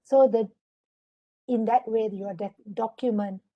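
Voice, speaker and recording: A woman's voice: fairly high pitch, steady tone, measured pace, muffled recording. A woman with a Malaysian English accent, giving an instructive webinar lecture as a trainer and often checking in with listeners. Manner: instructive, often asks for agreement.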